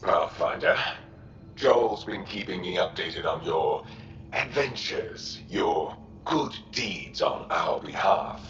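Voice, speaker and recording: A man's voice: low-pitched, lively intonation, takes it slowly, fairly bright tone, slight background noise, full character voice. An actor playing a stern, guarded alien resistance commander in a video game, curt and formal in his delivery. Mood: sceptical. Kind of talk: cinematic video game acting. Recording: roomy, dull-toned game audio with faint music.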